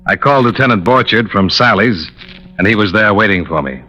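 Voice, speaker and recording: An actor playing a hard-boiled American insurance investigator in a 1950s radio detective drama, clipped, matter-of-fact and wry. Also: male voice, fairly low pitch, animated, a little fast, over music, lightly acted. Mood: dry, brisk. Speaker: narrator and lead detective. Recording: dull old radio broadcast recording.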